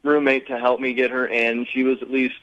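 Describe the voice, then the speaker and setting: A man's voice: medium pitch, level tone, fairly quick, lo-fi sound. A man talking candidly as a guest on a radio phone segment, blunt and sarcastic in an exasperated way.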